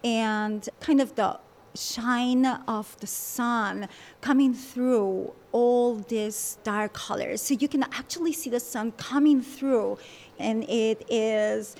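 A woman's voice: a little high, creaky. A woman artist from Peru, interviewed, talking with effusive enthusiasm.

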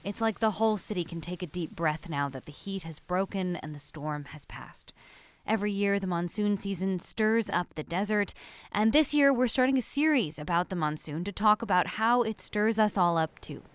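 A woman radio reporter narrating a news feature in a measured, reflective way. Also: mid-range voice, expressive, clear, resonant tone, no room sound.

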